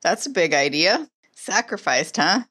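A woman's voice: on the low side, very animated, a little slow, fairly bright tone. A woman playing her character in a tabletop game, wry and questioning with a playful edge. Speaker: guest player.

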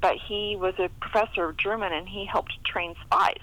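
A woman's voice: medium pitch, steady tone, moderate pace, a bit bright, a little hiss or hum, rough recording. A middle-aged American woman chatting casually and telling anecdotes as an interview guest. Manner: chatty, laughs often. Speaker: interview guest, historical fiction author.

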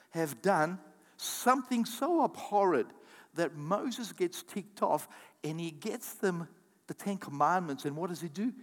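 A man preaching a sermon as pastor, calling out to the congregation and breaking into quick asides and acted-out quotes. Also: high-pitched. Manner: animated preaching with audience call-outs and asides. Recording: long hall reverb.

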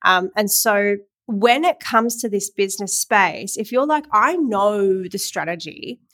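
A woman's voice: medium pitch, animated, measured pace, fairly bright tone, dry recording. A young woman, a women's empowerment coach, hosts her own podcast in a casual, earnest solo chat, sounding slightly nasal. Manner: chatty, earnest, loose with fillers and restarts. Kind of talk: casual solo podcast talk, streamed live.

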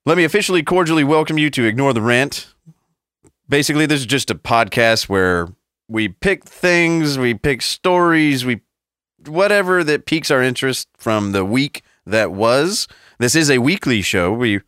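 A man's voice: medium pitch, lively intonation, moderate pace, a bit bright, clean tone, no room sound, clean studio sound. A man co-hosting a casual podcast, rambling and profane, laughing often. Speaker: podcast co-host.

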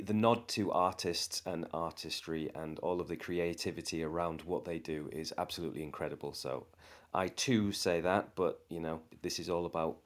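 A man hosting a podcast interview, friendly and teasing, with long rambling questions. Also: rumbling low. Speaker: podcast host.

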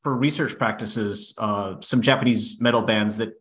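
A man co-hosting a podcast, asking interview questions in a relaxed, conversational way with casual fillers. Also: fairly low pitch, normal speed. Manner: relaxed, conversational, uses fillers like "you know". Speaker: podcast co-host.

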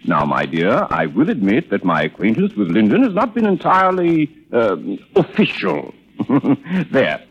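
Suave philanderer, wildly swooping delivery. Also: male voice, lowish voice, wildly swooping pitch, moderate pace, exaggerated voice. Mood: placating, self-satisfied. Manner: smooth-talking, hesitant, pompous. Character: suave evasive philandering gentleman, man of society. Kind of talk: 1930s radio drama acting. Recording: muffled old 1930s radio recording.

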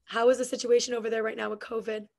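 A woman hosting a casual interview podcast, her voice a little raspy from allergies, upbeat and quick to laugh. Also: a little high, flat delivery, a little mellow. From Canada. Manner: upbeat, laughs often, chatty. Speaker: podcast host.

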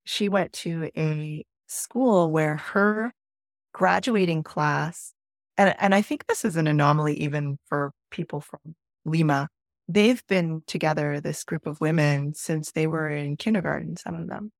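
A woman's voice: low-pitched, a little slow, creaky, no room sound, clean studio sound. A middle-aged woman from Peru, a podcast guest, telling a thoughtful personal story with frequent restarts. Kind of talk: reflective conversational storytelling.

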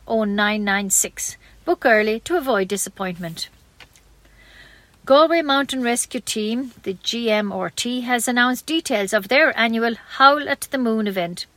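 A woman's voice: on the high side, a bit bright, no echo. A woman with an Irish accent reads scripted local news as a community radio newsreader.

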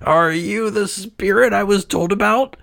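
A man's voice: high voice, measured pace, vocal fry, a bit bright, no echo, clean studio sound, voice pushed up, full character voice. A man voicing a greedy, cold-hearted old miser who talks in a snappish, cranky way. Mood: fearful.

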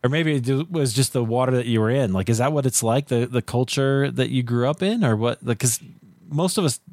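A man from the United States hosting a podcast, talking in a relaxed, chatty way with many fillers. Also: mid-range voice, fairly quick, dry recording. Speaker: podcast host. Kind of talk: casual interview chat.

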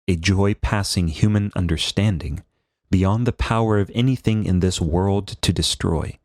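A man reading a devotional book aloud as a priest, in a solemn, measured and formal manner. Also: low-pitched, a little mellow, no echo, clean studio sound. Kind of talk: scripted devotional narration, scripture reading.

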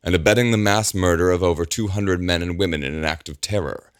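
A man's voice: sits low, quick pace, clear, resonant tone, no echo, clean studio sound, pitched above the performer's own voice, lightly acted. A man voicing a commanding male lord regent who growls his orders. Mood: imperious. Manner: growling, imperious.

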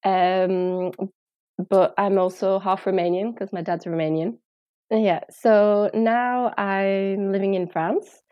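A woman's voice: mid-range voice, steady tone, slow pace, dry recording, highs cut off. A young woman, a guest on an interview who talks in a chatty way, laughs easily and makes small restarts. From England. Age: young adult. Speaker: interview guest, English teacher.

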